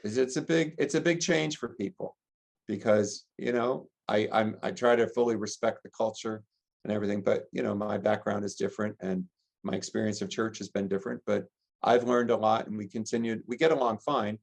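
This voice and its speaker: An American man, a middle-aged priest, giving earnest, gentle interview answers and listing ideas in long chains. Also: on the low side, steady tone, brisk, vocal fry. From United States. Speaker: priest and rector, interview guest.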